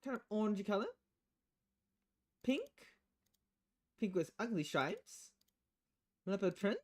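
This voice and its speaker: A woman's voice: medium pitch, lively intonation, takes it slowly, a little mellow. A woman streaming a game, casually reading its text aloud and commenting as she plays, acting out the lines.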